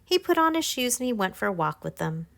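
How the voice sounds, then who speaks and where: A woman narrating a children's picture book, reading aloud in simple, clear phrases for young listeners. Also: fairly high pitch, big pitch swings, a little fast, no echo. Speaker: author narrating her own children's book. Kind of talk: scripted children's storybook narration.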